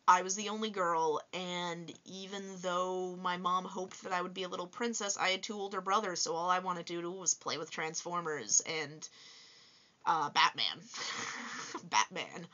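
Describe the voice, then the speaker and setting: A woman in her twenties with a low voice, chatting casually and upbeat in a vlog, with many fillers. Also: pushed and bright, lo-fi sound. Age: 20s. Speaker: toy reviewer and vlogger. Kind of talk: casual vlog answering viewer questions. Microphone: voice-over with face unseen.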